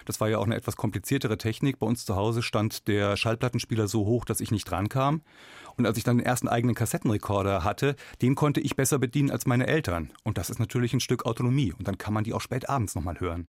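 A middle-aged man, a scholar, explaining in a measured way as an interviewed expert. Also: fairly low pitch, a little mellow, dry recording.

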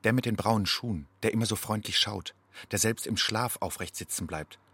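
Radio play role: tired, watchful homeless man, lowish voice, measured poetic monologue. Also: bright, forceful tone, breathy edge, exaggerated voice. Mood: weary, watchful. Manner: measured, brooding. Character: weary, watchful man living at motorway rest stops. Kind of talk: poetic second-person monologue.

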